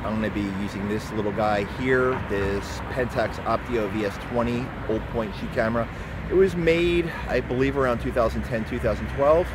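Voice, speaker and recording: A middle-aged man talking to a handheld camera at arm's length as he walks a city street, in a relaxed, rambling way. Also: mid-range voice, normal speed. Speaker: photography vlogger. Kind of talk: casual walking vlog. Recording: outdoor street noise.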